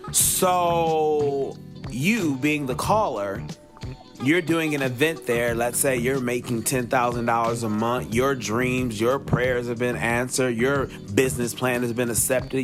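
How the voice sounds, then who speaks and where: A man hosting a podcast, giving conversational advice in an emphatic way and repeating phrases for effect. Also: mid-range voice. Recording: music playing under the voice. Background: music.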